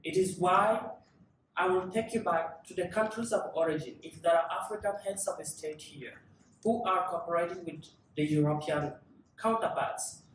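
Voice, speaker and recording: A man's voice: high-pitched, fairly bright tone, a little hiss or hum. Earnest young man in an echoey hall. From South Sudan. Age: 20s. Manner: earnest, measured, with deliberate pauses. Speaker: panel speaker. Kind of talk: testimony at a panel event. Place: large conference room. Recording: echoey, distant conference room recording.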